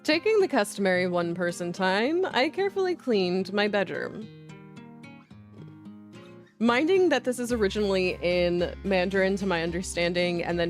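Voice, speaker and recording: A woman hosting a livestream of visual novels, chatting casually and playfully and sometimes reading aloud, in an informal way. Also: medium pitch. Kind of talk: casual game stream chat with reading aloud.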